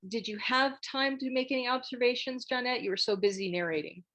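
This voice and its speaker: A woman's voice: fairly high pitch, fairly quick, a bit bright. A woman hosting a casual group discussion over a video call, politely directing the others. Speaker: host moderating a script table read.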